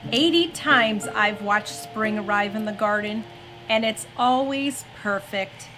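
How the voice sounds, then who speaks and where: A woman reading a children's story aloud in an expressive, gentle storytelling manner. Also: mid-range voice, slow, low-quality recording. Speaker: mother reading aloud on a family story-time show. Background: background music.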